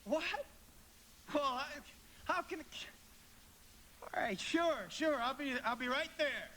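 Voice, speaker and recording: A man's voice: very high-pitched, slow, bright, forceful tone, exaggerated voice. A man playing an earnest young small-town loan manager in a radio drama, folksy, impassioned and prone to stammering. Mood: flustered. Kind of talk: live radio drama acting.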